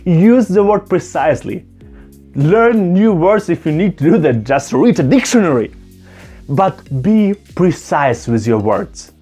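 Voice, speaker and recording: A man's voice: a little high, expressive, a little slow, dark tone. Earnest man vlogging over faint music. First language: not German; Russian-speaking background. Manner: earnest, emphatic, repeats phrases for stress. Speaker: YouTuber. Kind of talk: direct-to-camera opinion vlog. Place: indoors, talking to camera.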